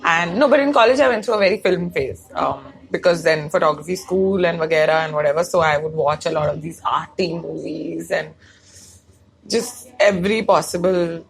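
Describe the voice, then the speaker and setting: A young woman with a Bangalore Indian English accent talks in a loose, rambling way, switching between English and Hindi mid-sentence. Her voice sits on the low side and carries a gravelly, creaky texture. She sounds like a comic chatting offhand about her life. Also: moderate pace, rough recording. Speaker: stand-up comic and comedy writer. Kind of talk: casual chat.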